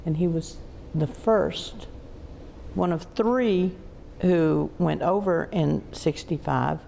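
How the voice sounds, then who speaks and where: A woman giving an unscripted museum tour, telling stories in halting, emphatic phrases. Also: sits low, vocal fry, dark tone, a little hiss or hum. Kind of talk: unscripted guided tour talk. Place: inside a museum.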